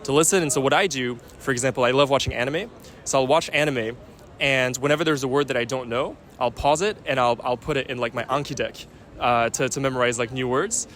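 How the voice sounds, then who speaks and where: A man's voice: medium pitch, a little fast, a bit bright. A young man from France answering a street interviewer in an articulate, thoughtful way.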